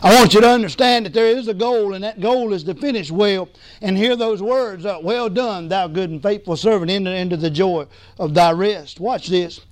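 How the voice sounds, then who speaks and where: A man preaching a sermon as pastor, hoarse from losing his voice, emphatic and calling for responses. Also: sits high, moderate pace, dry recording. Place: church sanctuary.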